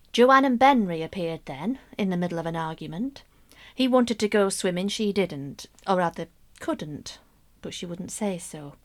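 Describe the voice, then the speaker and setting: A woman's voice: fairly low pitch, close to an everyday voice. A woman acting a romantic, wry, middle-aged mother who confides her private yearnings in an intimate radio drama monologue. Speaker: actress in a radio play monologue.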